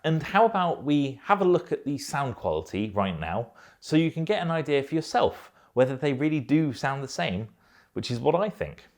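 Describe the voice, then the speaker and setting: Man giving a review, lively pitch swings. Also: normal speed. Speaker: product reviewer. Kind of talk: scripted-sounding headset review.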